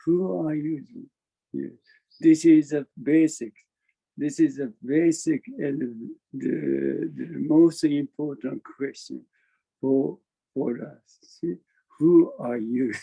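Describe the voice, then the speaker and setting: A man with a Japanese accent giving an informal spiritual talk in English as a Zen master, halting, repeating his phrases and chuckling often. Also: mid-range voice, gravelly, mellow, highs cut off. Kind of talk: informal spiritual talk over online meeting. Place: online video meeting.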